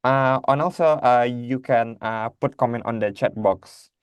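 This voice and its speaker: A man from Indonesia moderates an online conference over a video call. He talks haltingly, full of fillers and restarts. His voice is smooth and resonant, and it sounds dry and close. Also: mid-range voice, dry recording, muffled recording.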